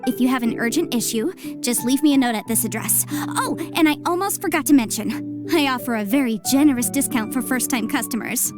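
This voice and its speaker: Perky, high-voiced young female legal advisor. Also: expressive, music in the background. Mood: eager, chatty. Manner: brisk, articulate, self-promoting patter. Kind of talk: scripted video game acting.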